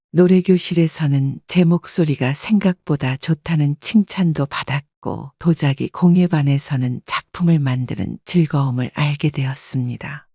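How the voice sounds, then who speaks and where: A woman narrating a first-person story in a calm, measured way. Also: sits low, no room sound, clean studio sound.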